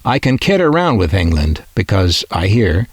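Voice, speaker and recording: Dry-humoured man with lively, low-pitched delivery. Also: moderate pace, slightly rough, no echo. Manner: dry, tongue-in-cheek humour. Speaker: host of a vintage radio collecting video. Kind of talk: scripted narration with playful asides.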